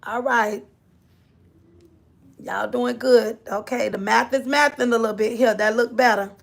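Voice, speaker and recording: A woman's voice: on the high side, steady tone, unhurried, vocal fry. A woman from Florida with a Southern accent, hosting a casual livestream and chatting loosely with her viewers. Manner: chatty, drawling, scolds her kids off-mic. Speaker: livestream host. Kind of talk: casual live chat.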